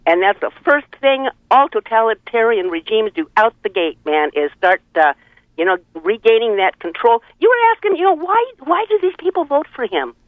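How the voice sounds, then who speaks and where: A woman's voice: a little high, very animated, normal speed, dry recording. Telephone-quality female radio caller, opinionated, digressive and earthy, narrow tinny sound. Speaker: caller to a radio talk show. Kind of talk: casual opinionated chat. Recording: tinny, band-limited phone line.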